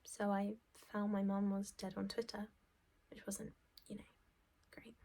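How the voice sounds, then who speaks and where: Woman acting a shaken, husky young office woman. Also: medium pitch, completely flat delivery, warm tone. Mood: numb, shaken. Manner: halting, sweary, breathy drops into near-whisper. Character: shallow, self-absorbed well-off young office woman, shaken. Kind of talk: dramatic stage monologue, conversational first-person recounting.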